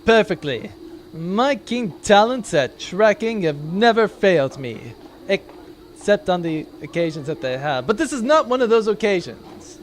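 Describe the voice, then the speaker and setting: A man's voice: sits high, very animated, a little slow, slight background noise. A man voicing a pompous, boastful military tracker in a comic sci-fi audio drama. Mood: smug, boastful. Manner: pompous, theatrical.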